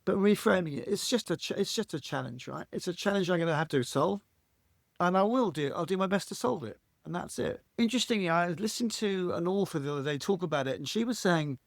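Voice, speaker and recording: A man with a husky voice, a podcast guest talking reflectively and earnestly, often restarting his phrases. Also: high-pitched, animated, a little fast, no room sound, studio quality. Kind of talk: reflective conversational interview.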